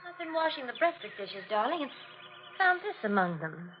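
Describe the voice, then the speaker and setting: Sweet curious young wife, highish animated voice, old radio play, muffled. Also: female voice, moderate pace. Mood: puzzled. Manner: expressive, solicitous, chatty. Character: young, fresh, affectionate wife, innocently curious and puzzled. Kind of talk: old-time radio suspense acting. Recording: muffled, noisy vintage radio recording.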